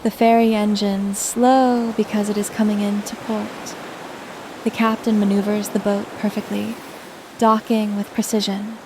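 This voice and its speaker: A woman narrating a scripted guided sleep meditation in a soothing, gentle manner. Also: mid-range voice, flat delivery, unhurried, noisy background.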